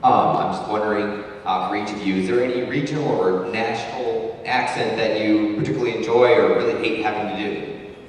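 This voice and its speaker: A man asks a question from the audience at a convention. His voice is a little low, far from the mic in a very echoey hall. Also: steady tone, brisk. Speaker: audience member asking a question. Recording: very echoey hall, distant, faint noise.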